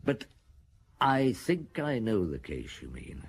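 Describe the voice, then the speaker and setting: A man playing a weary, gruff but compassionate middle-aged French chief inspector in a radio drama. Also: medium pitch, wildly swooping pitch, slow pace, a little hiss or hum, heavily acted. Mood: rueful, reflective. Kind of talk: British radio drama acting.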